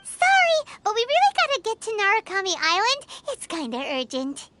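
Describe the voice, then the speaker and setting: A woman voicing a tiny, childlike fairy companion in a video game, feisty, excitable and chirpy. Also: very high-pitched, normal speed, no room sound, heavily acted. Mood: pleading, musing. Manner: childlike, refers to self in third person. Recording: game music behind the voice.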